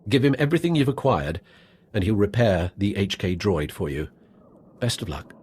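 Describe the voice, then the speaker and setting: A man's voice: fairly low pitch, animated, moderate pace, a little mellow, breathy edge, no room sound. A man playing a dry-witted military officer in a video game, giving a formal, understated briefing. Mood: businesslike. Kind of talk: scripted video game dialogue.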